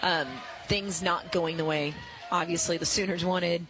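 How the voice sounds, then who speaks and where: A woman giving live softball analysis as a radio color commentator, explaining plays in an analytical, coach-like way. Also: fairly low pitch, steady tone, measured pace, poor audio.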